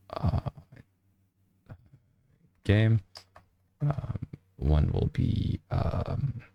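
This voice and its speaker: A young man with a hoarse, nearly lost voice, mumbling through a late-night coding stream with many fillers. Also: low-pitched, dull audio. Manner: speaks quietly to avoid disturbing neighbors. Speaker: programming streamer. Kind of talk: casual coding stream commentary. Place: small apartment room at night.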